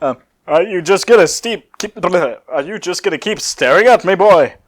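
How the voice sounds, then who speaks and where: A man's voice: high-pitched, expressive, normal speed, pitched above the performer's own voice. Man putting on a vain, pompous android villain. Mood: amused, taunting. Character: vain, theatrical male android villain, proud of his looks.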